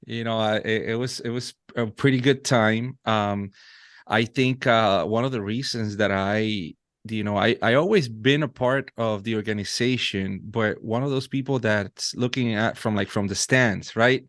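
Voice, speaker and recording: Puerto Rican man, 30s, native Spanish speaker, earnest podcast guest, lowish voice, dry close sound. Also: moderate pace, fairly bright tone, no echo. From Puerto Rico. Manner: earnest, frequent restarts and fillers. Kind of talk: casual interview chat.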